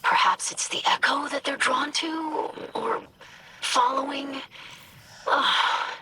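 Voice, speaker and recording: A thoughtful female investigator in a video game. Her voice is high and unhurried, musing with quiet curiosity over clearly audible background ambience. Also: steady tone, a little hiss or hum, heavily acted. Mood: puzzled, curious.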